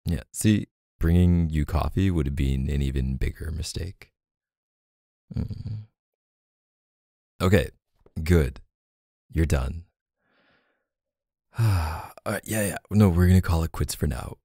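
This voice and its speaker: Man voicing a playful doting boyfriend, ASMR roleplay, dark mellow soft voice, teasing murmurs, close dry sound. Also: no room sound, full character voice. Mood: teasing, bossy. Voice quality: low, gravelly, soft. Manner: teasing, murmuring, hesitant pauses and hums. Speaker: audio roleplay performer.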